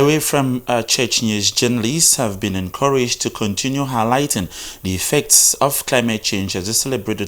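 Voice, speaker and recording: A man's voice: fairly low pitch, fairly bright tone. A man with a Cameroonian accent presenting the news from a script.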